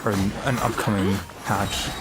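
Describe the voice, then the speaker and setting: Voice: low-pitched, steady tone, moderate pace. A game streamer chatting casually and musing aloud in a rambling, self-deprecating way during a livestream. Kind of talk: casual livestream gameplay chat. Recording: music playing behind the voice.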